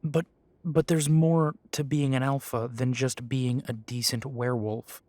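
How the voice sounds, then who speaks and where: Man playing a slow, guarded young werewolf. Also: medium pitch, level tone, a little mellow, dry recording, close to an everyday voice. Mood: doubtful, defensive. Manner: blunt, defensive, hesitant. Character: guarded, self-doubting young male half-breed werewolf. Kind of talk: scripted roleplay audio drama.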